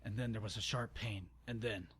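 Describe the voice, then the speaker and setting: A man's voice: fairly low pitch, fairly even intonation, fairly quick, slightly rough. A man narrating an amateur dramatic story reading, informal and stumbling, with exasperated, sarcastic asides. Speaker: narrator and channel host.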